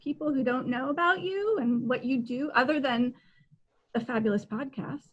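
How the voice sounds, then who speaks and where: A woman's voice: sits high, normal speed, muffled recording. A middle-aged woman co-hosting a book show, chatting casually and animatedly on a video call. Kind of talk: casual interview chat. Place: indoor room, video call.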